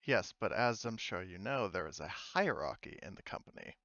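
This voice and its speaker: A man's voice: sits low, animated, moderate pace, fairly bright tone, no echo, highs cut off. A man voicing a haughty, annoyed corporate woman agent with a stern, condescending manner in a tabletop game.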